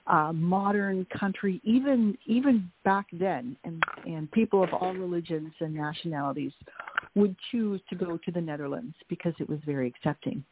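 A woman's voice: fairly low pitch, normal speed, a little hiss or hum. A middle-aged woman from Canada, an author, telling her family story in an interview and often repeating words as she recalls. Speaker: author guest. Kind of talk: interview over phone. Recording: tinny phone line.

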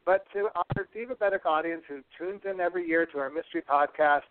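A man's voice: fairly high pitch, quick pace, no echo. A man hosting a podcast interview in a casual, chatty way, effusive and heartfelt. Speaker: podcast host. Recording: narrow phone-like sound.

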